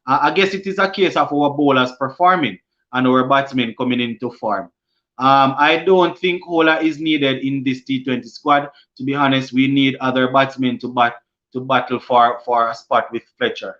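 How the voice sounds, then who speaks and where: A Jamaican man hosting a casual cricket live stream, reading viewer comments aloud and answering them, often halting and restarting mid-sentence. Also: medium pitch, pushed and bright, lo-fi sound. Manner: halting, many restarts and fillers.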